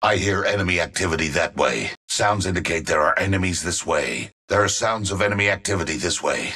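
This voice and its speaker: An actor playing a stern, watchful operative with a raspy voice, giving formal, clipped callouts in a video game. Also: male voice, low-pitched, brisk, pushed and bright, exaggerated voice.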